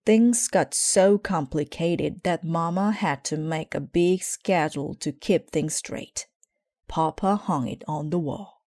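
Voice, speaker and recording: A woman reads a children's story aloud in a measured, deliberate storytelling manner. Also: low voice, a little mellow, no room sound, studio quality. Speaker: storybook reader. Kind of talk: scripted children's story narration, read aloud.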